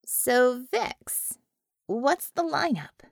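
A woman's voice: high voice, sing-song, drawn-out words, vocal fry, no echo, studio quality, pitched above the performer's own voice, full character voice. A woman voicing a brash, impatient female team leader in an audiobook, speaking emphatically with wry sarcasm. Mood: persuasive. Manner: emphatic, exclaiming, sardonic.

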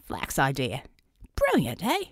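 A woman's voice: very deep voice, wildly swooping pitch, slow, husky, no room sound, studio quality, voice pushed down, full character voice. A woman voicing a cheeky young British man, chirpy and matey, with a pronounced British accent. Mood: cheerful.

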